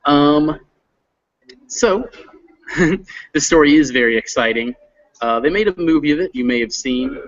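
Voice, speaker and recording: A man's voice: a little high, lively intonation, a little slow, pauses often, muffled recording. A man teaching an online English reading class, friendly and a little hesitant, with lots of fillers. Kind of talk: online class, casual instruction. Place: classroom, not his usual one.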